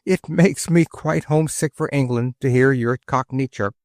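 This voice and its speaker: A man voicing a gentle, warm-hearted male doctor. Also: mid-range voice, moderate pace, no echo, studio quality, close to an everyday voice. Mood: glad. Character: kind, gentle English country doctor, man.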